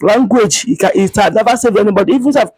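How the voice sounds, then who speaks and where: A Nigerian woman speaking to camera outdoors, keeping her voice low as she scolds her viewers with emphatic repetition. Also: fast talker, husky, no echo, faint music behind. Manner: speaking quietly. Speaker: vlogger. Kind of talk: impassioned monologue to camera.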